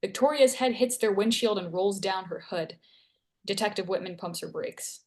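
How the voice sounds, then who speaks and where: A woman's voice: medium pitch, normal speed, darkish tone. A woman reading scene headings and stage directions aloud as narrator at a screenplay table read.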